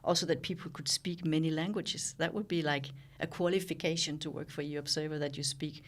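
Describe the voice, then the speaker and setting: A Danish woman in her sixties, a journalist talking thoughtfully in an interview, pausing and restarting as she reflects. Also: low voice, steady tone. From Denmark. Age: 60s. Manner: thoughtful, with frequent pauses and restarts. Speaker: guest, journalist and founder.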